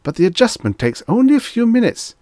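Man putting on an eager, high-pitched fussy salesman. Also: big pitch swings, normal speed, darkish tone, no echo, studio quality. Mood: frightened, pleading. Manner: obsequious, formal, wordy. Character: eager, anxious, fussy salesman clerk, deferential and long-winded.

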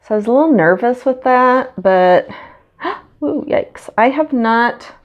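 A woman's voice: fairly high pitch, expressive, a little slow, lo-fi sound. A woman with a cold and a stuffy nose, chatting casually with lots of ums while she paints at home. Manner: chatty and rambling, many ums. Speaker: acrylic pour artist. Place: home studio, indoors.

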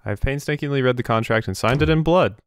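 A man's voice: mid-range voice, fast, clear, resonant tone, no echo, clean studio sound. A young adult man giving casual, wry, laid-back commentary as a let's play host.

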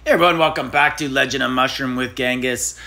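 A man's voice: mid-range voice, steady tone, fast talker, gravelly, bright, forceful tone. A man hosting a mobile game channel, chatting casually and thinking aloud as he taps through menus. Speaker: gaming channel host.

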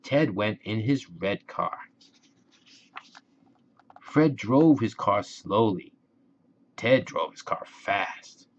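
A man reading a children's storybook aloud in a deliberate, expressive way for young listeners. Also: lowish voice, highs cut off, slight background noise. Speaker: children's book reader.

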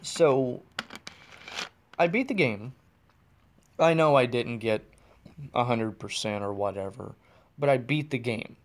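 American guy rambling on his podcast, slow and easy, keeps restarting his sentences, talking in the car. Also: medium pitch, animated, slight background noise. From United States. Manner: rambling, restarts and self-corrections. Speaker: podcast host. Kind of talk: casual solo chat. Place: in a car, driving.

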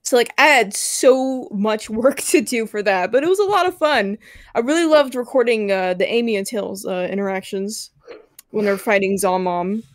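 A woman's voice: medium pitch, normal speed. A woman who voice acts, talking casually and cheerfully on a Q&A panel. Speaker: voice actor on a panel.

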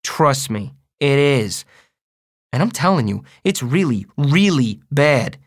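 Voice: mid-range voice, very animated, takes it slowly. A narrator playing a blunt, defensive schoolboy with casual, emphatic kid talk in an audiobook. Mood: insistent. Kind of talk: audiobook first-person character voice.

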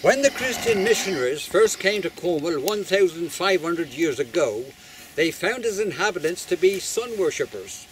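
An older man reading a prepared ceremonial speech outdoors in a formal, measured, declamatory way. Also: high voice, animated, over music, low-quality recording. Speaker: master of ceremonies, society chairman. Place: open hilltop outdoors. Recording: outdoor recording with faint background noise.

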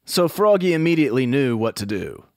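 A man's voice: mid-range voice, sing-song, a little fast, no echo, clean studio sound. An American man telling a scripted children's story in a conversational, explanatory way. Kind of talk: scripted storytelling narration for kids. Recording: music bed behind narration. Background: music.

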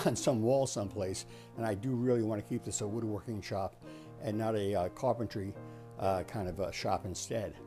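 A man in his sixties giving a casual, unhurried explanatory tour as the host, talking conversationally in a small indoor workshop. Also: mid-range voice, warm tone. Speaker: host of a woodworking shop tour.

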